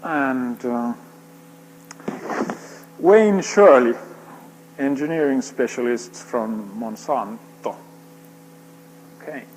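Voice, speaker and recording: A man's voice: mid-range voice, very slow, pauses often, a little mellow, slight background noise, rough recording. Joking male speaker, native Swedish speaker. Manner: joking, self-deprecating, casual. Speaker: conference speaker. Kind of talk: conference Q&A. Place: conference hall.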